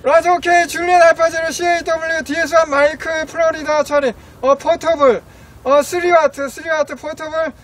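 A man's voice: very high voice, steady tone, normal speed. A middle-aged man from South Korea making ham radio calls in an open field, reciting call signs in clipped, formulaic phrases. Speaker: amateur radio operator. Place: open farm field outdoors. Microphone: camera a few metres away. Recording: outdoor field with noisy radio sound. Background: loud ambient and radio noise.

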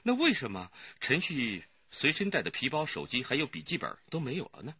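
A man's voice: mid-range voice, a little fast, breathy edge, no echo, exaggerated voice. An actor playing a male police detective who briefs colleagues expressively in a radio crime drama. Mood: intrigued. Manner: expressive storytelling. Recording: dull recording with faint noise.

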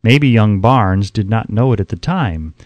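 A man reading an audiobook as scripted narration, in a measured, emphatic way, close to the microphone. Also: lowish voice, animated, a little mellow, no echo.

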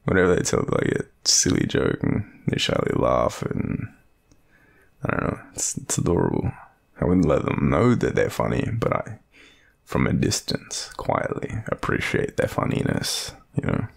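A young Australian man playing a shy, lovestruck friend in an intimate romantic audio roleplay, speaking hesitantly in a deep, gravelly, breathy murmur. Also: huge pitch swings, normal speed, full character voice. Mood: shy, fond.

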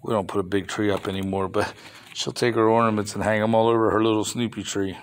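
A man's voice: on the low side, level tone, fast. A man filming his toy finds on a phone and chatting about them casually, rambling with mild grumbling asides. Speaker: toy reseller showing flea market finds. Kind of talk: casual off-camera show-and-tell vlog. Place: indoors at a table of toys. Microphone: handheld phone camera.

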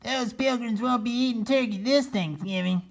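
Man doing a high-pitched, tough turkey leader. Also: normal speed, creaky. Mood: triumphant. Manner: loud and emphatic.

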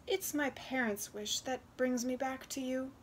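Storybook peasant daughter acted by a woman: young, sweet, shy, modest, high voice, unhurried. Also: a little hiss or hum.

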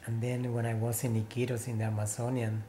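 A man in his forties speaks English with a Peruvian Spanish accent, pausing and restarting as he searches for words. His voice is dark and mellow, recorded close on a webcam in a small room, with a faint hiss behind it. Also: medium pitch, flat delivery. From Peru. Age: 40s. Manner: halting English with many pauses and restarts. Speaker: interviewee, painter. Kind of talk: casual interview. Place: small room with painted walls, indoors.

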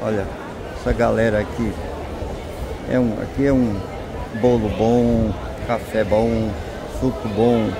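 A man with a Northeastern Brazilian accent walking through an open-air market, slowly pointing out and savoring the food on sale. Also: lowish voice, little pitch movement. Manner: slow, savoring, points out goods. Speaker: vlogger. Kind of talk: walking market tour commentary. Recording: loud crowd noise outdoors. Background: crowd chatter and vehicles.

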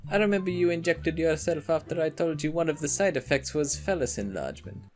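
Earnest young scientist guy, lively pitch acted by a man. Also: fairly quick, faint music behind, natural voice. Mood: earnest, defensive. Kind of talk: animated comic dialogue.

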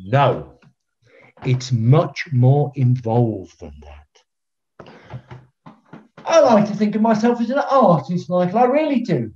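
Pompous older referee, swooping delivery. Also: male voice, a little high, big pitch swings, a little mellow. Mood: grandly self-important. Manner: self-important, theatrical, deliberate. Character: pompous, eccentric veteran football referee, older man. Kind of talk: filmed comedy acting. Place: room at home, on a video call. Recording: dull lo-fi video call sound.

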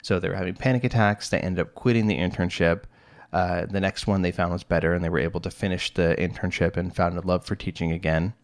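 A man's voice: low voice, fairly even intonation, fast talker. A middle-aged man hosting a solo podcast, talking casually and rambling with lots of fillers and restarts. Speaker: podcast host, psychologist.

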